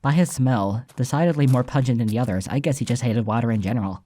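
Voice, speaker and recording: Mellow male story narrator over music. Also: mid-range voice, fast. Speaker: audiobook narrator. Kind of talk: scripted first-person story narration.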